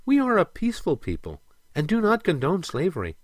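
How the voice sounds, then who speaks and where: Man acting as female mermaid, high voice, gentle, earnest, stately phrasing, storybook fantasy, close dry sound. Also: wildly swooping pitch, brisk, no room sound, exaggerated voice. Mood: regretful, explaining.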